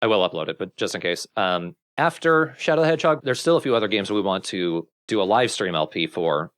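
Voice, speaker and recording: Low-voiced man in casual gaming chat. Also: fairly bright tone, no echo. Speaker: let's play host. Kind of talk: casual gaming commentary with friends.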